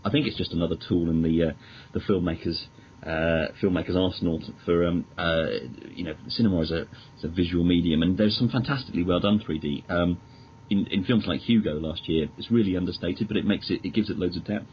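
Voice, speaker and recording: British male interview guest, low voice, hesitant, courteous delivery, dull lo-fi recording with noticeable noise. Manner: hesitant, stammering restarts, polite and measured. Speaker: podcast guest, magazine editor. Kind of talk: casual interview chat about films. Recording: dull lo-fi audio with clear noise.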